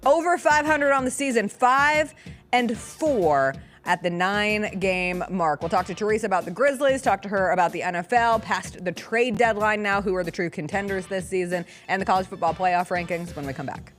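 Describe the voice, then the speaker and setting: A woman's voice: medium pitch, moderate pace, soft background music. A woman hosting a sports talk show, chatting casually and playfully with emphatic delivery.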